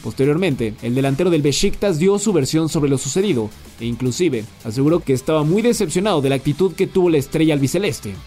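A man's voice: fairly high pitch, faint music behind. Man reading sports news headlines. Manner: brisk, even headline delivery.

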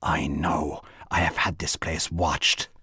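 A man's voice: low voice, normal speed, slightly rough, dry recording, clean studio sound. A man voicing a large, angry, suspicious drunken wife with a rich, meaty voice in an audiobook story. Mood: furious, accusing. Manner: loud, confrontational, accusing. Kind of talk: literary audiobook character voice.

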